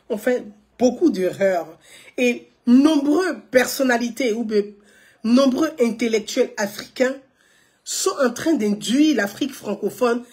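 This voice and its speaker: A woman's voice: fairly high pitch, darkish tone, slightly rough. A woman, giving live political commentary to viewers, emphatic, repeating her points and asking rhetorical questions.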